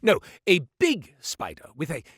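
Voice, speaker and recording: A theatrical goat-man shopkeeper, hawking treasures with grand, swooping patter that leaps high and drops to a mutter. His voice rings bright and sharp, recorded close and dry in a studio booth with no room sound. Sly and showy, he undercuts himself with deadpan asides. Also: measured pace, breathy edge, dry recording, heavily acted. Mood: chatty. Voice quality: wildly swinging pitch, booming then muttering. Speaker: shopkeeper character. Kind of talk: video game voice lines. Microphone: dry studio mic.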